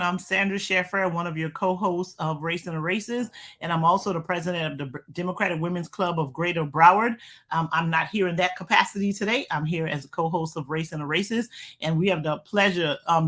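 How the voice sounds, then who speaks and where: A woman's voice: fairly low pitch, fairly bright tone. A woman co-hosting a political talk show whose first language is not English, speaking in a rambling, conversational way. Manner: rambling, many fillers and restarts. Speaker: co-host.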